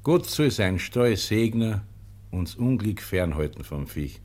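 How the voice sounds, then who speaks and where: Slow, low-voiced pious Bavarian brother. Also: male voice. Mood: patient, devout. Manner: calm, simple, gently admonishing. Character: pious, unshakably calm humble monastery porter brother. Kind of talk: German radio play acting.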